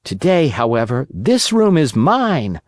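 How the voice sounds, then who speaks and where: A storyteller voicing an impudent, quick-tempered wooden marionette boy in a storybook reading. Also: medium pitch, sing-song, dry recording, studio quality. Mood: cheeky defiant. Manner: cheeky, impatient.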